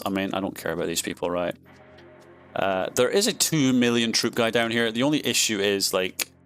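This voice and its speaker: A man's voice: on the low side, a bit bright, a little hiss or hum. A man commentating his own strategy game in a casual style, thinking aloud with frequent ums and restarts and sudden surprised outbursts. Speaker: gaming commentator.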